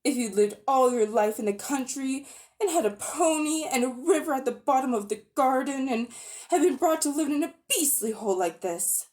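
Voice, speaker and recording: A woman voicing a miserable young boy in a storybook reading, talking in an upset, wound-up way, her voice raised higher than her narration. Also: moderate pace, darkish tone, close to an everyday voice. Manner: upset, wound up, near tears.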